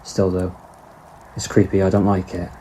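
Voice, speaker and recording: Noisy ambience sits behind a man playing a fairly low-voiced male companion in an audio drama. He is easily spooked and sceptical, and questions things in a hesitant, anxious way. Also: monotone, normal speed, dark tone, natural voice. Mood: uneasy. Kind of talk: fan audio drama acting.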